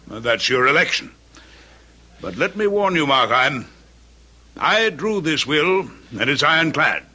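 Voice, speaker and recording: Pompous, sharp-voiced Victorian gentleman. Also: fairly high pitch, big pitch swings, measured pace, exaggerated voice. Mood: pompous, unruffled. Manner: pompous, formal. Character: pompous, stern Victorian gentleman and indignant father. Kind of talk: old-time radio drama acting.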